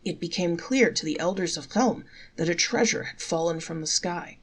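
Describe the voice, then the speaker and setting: A woman reading folktales aloud in a measured, articulate storybook narration. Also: fairly low pitch, breathy edge.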